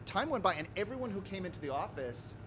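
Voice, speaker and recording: High-voiced man telling a rambling story. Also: very animated, fast, a bit bright, slight background noise. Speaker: host telling a personal story. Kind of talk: casual anecdotal storytelling.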